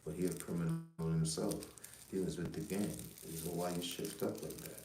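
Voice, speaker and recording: Very deep, flat-voiced Jamaican-born man ranting. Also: moderate pace, reverberant, slight background noise, low-quality recording. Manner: rambling, profane, streetwise, repeats "you know what I'm saying". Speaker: livestream host. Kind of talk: casual livestream monologue.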